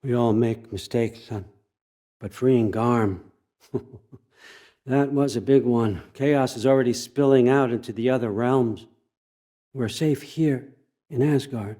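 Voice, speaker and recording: A man's voice: medium pitch, slow pace, pauses often, warm tone. An older American man acting in a video game as a scheming, controlling old father god, wry, folksy and conversational as he manipulates. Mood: quiet, controlled.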